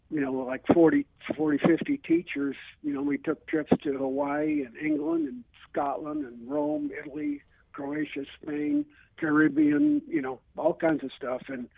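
A man's voice: very high-pitched, creaky, no room sound. An older American man reminiscing casually as a radio phone-in guest, rambling with plenty of verbal filler. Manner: rambling, peppered with "you know". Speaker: phone-in guest, retired teacher. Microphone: telephone. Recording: tinny narrowband phone line.

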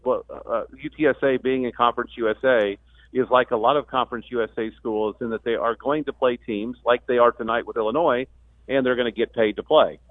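A man's voice: medium pitch, steady tone, a little fast, no room sound. Man on a thin phone line. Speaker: radio play-by-play announcer as guest. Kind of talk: live sports talk radio interview.

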